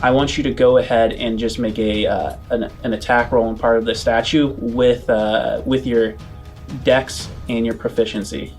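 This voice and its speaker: A man's voice: medium pitch, level tone, normal speed, faint music behind. A man running a tabletop role-playing game as game master, narrating and explaining in a conversational way.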